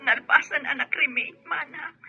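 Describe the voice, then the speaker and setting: A woman playing an assertive yet affectionate young married daughter in a radio drama, with a breathy voice. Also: sits high, moderate pace, bright, forceful tone, lo-fi sound, soft background music, heavily acted. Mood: subdued. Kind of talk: Filipino radio drama acting.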